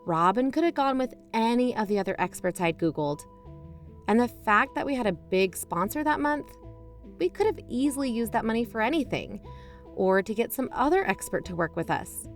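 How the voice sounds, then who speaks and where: An actress playing a suspicious, self-justifying investigator who hosts a podcast, narrating in an emphatic, confiding, conversational way. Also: female voice, fairly high pitch, lively intonation, normal speed, soft background music, natural voice. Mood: defensive, insistent. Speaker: podcast host.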